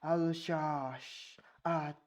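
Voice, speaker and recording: A young Ugandan man with a Ugandan English accent, his first language Luganda, reading aloud haltingly and sounding out unfamiliar words, with casual asides. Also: fairly high pitch, steady tone. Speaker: vlogger.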